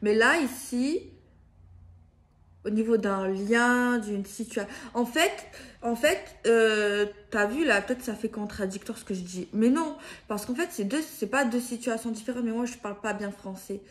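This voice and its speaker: A woman's voice: fairly high pitch, normal speed. A woman giving improvised spiritual guidance in a casual, hesitant way, often circling back and rephrasing herself. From France. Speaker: card reader giving guidance to viewers.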